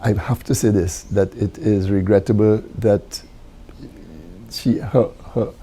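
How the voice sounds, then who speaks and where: A man gives a formal ceremonial speech in a creaky, dark voice. He speaks very slowly and hesitantly, pausing often, over faint event noise. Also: on the low side, fairly even intonation. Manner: measured, hesitant with frequent pauses. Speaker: judge giving tribute speech.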